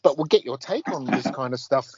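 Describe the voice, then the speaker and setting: A man's voice: mid-range voice, expressive, brisk, darkish tone, a little hiss or hum. A man hosting a podcast in a rambling, casual way, full of fillers and restarts. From Australia. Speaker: podcast host. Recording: dull lo-fi dry sound.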